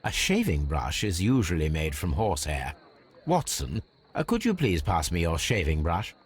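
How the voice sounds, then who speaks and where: An actor playing a brilliant, unflappable Victorian detective in a game, with an English accent and precise, formal, measured delivery. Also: male voice, low-pitched, sing-song, slightly rough, exaggerated voice. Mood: brisk, analytical. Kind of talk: scripted game dialogue and deductive narration.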